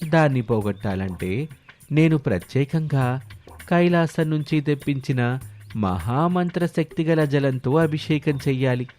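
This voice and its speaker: A man's voice: mid-range voice, a little mellow, close to an everyday voice. Man as fake swami, sly village swindler, solemn pronouncements, coaxing, cartoon moral tale, music behind. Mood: grave. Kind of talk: animated moral story dialogue.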